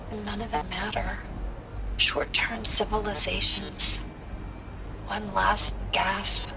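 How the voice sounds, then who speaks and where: An actress playing a weary, reflective scientist delivering a literary, brooding monologue for a video game audio log. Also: female voice, medium pitch, background noise. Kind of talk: scripted dramatic monologue. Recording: music behind the voice. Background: music.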